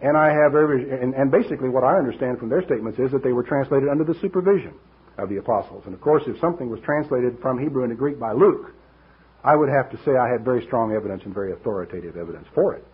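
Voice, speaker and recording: An older man preaching an expository sermon, teaching emphatically with rhetorical asides, in a husky voice. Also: mid-range voice, racing. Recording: dull, lo-fi radio-like recording.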